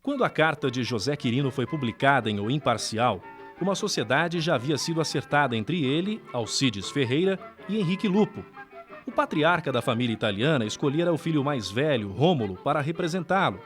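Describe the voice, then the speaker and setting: A man's voice: mid-range voice, expressive, fairly quick, faint music behind. A man with a Brazilian accent reading scripted documentary narration.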